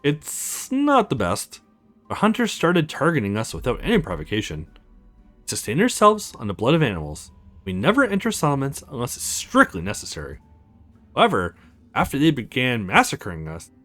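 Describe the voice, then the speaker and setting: A man plays an ancient vampire lord from a visual novel. He is courtly and wry, speaking in formal, measured phrases with very wide swings of pitch. Music plays behind him. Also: wildly swooping pitch, fairly bright tone, heavily acted. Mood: grave, defiant. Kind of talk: visual novel character voice read aloud.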